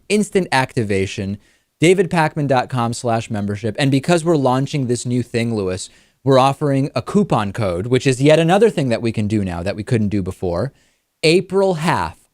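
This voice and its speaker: A man's voice: medium pitch, darkish tone, dry recording, studio quality. A man hosting a talk show, presenting in a conversational way and leaning on key phrases for emphasis. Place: studio.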